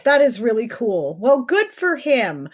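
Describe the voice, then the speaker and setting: A woman's voice: a little high, sing-song, measured pace, breathy edge. A woman co-hosting a podcast, chatting with enthusiasm and laughing easily. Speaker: podcast co-host. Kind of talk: casual podcast chat.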